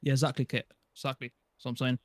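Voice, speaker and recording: A man's voice: medium pitch, flat delivery, very fast, no room sound. A man giving his opinions in casual chat as a panelist on a podcast.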